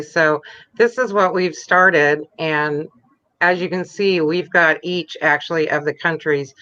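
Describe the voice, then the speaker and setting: A woman guest with a bright, forceful voice walks through her points one step at a time and drops in fillers as she goes. The video call audio sounds dull and muffled. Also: low voice. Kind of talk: casual video chat.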